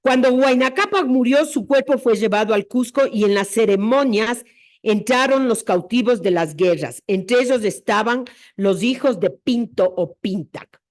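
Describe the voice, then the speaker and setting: A woman's voice: fairly high pitch, a little slow. A woman presenting an academic history lecture, explaining her reasoning step by step in a didactic way.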